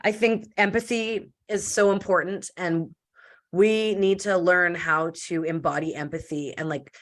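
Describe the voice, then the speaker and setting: A woman from Canada, a podcast guest, talking in a loud, deep voice with lots of filler "likes" and quick restarts. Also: steady tone. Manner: loud and deep voice by her own account. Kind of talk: casual interview chat.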